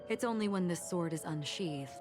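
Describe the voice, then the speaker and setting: A woman playing a calm young swordswoman who speaks in a low, half-whispered way. Her lines are unhurried and reflective. Game music plays clearly behind her. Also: lightly acted. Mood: wistful. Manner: quiet, slow, half-whispered. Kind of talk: game voice acting. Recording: game audio with music underneath.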